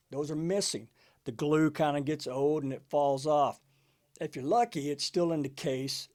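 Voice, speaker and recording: A man's voice: medium pitch, darkish tone. A middle-aged man talking off the cuff to camera as a music collector, in a laid-back, conversational way with frequent check-in tags. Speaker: music collector hosting a video channel. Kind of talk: casual unscripted talk to camera.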